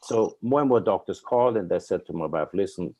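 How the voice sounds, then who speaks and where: An Austrian man in his fifties tells his story as an interview guest. He speaks in an earnest, rambling way, with frequent fillers and an Austrian German accent. His voice is low, mellow and warm. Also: a little fast. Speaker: guest, company owner.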